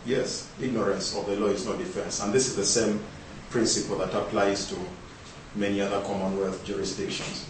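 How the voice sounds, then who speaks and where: A man's voice: fairly low pitch, little pitch movement, normal speed, noisy background. A man from Uganda giving a conference presentation, building his points with repeated rhetorical questions. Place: large conference hall. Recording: echoey hall, speaker far from mic.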